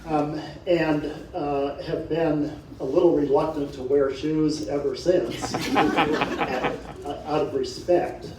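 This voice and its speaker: Slow-paced man, noisy echoey room. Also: on the high side, fairly even intonation. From Peru. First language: Matsigenka. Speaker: seminar panelist. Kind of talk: informal self-introduction to a live audience. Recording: echoey room, distant mic, loud noise.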